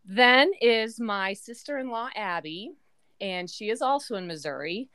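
A woman in her forties hosting a casual family podcast, chatty and quick to laugh. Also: medium pitch, lively intonation, fairly bright tone, no room sound, clean studio sound. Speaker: podcast host. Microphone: remote call.

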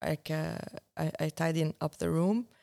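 A young woman, a filmmaker talking as the guest in a relaxed interview and often restarting as she searches for words. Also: sits low, moderate pace, gravelly. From Syria. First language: Arabic. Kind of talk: casual conversation.

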